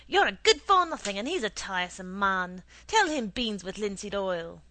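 A woman's voice: on the low side, little pitch movement, slow, a little hiss or hum. A woman voicing an exasperated, scolding mother in a storybook, sharply emphatic.

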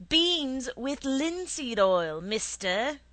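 A woman voicing an indignant, easily flustered young boy in a storybook, with a shrill edge. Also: very animated, slow pace, husky, no room sound, heavily acted. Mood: calling out.

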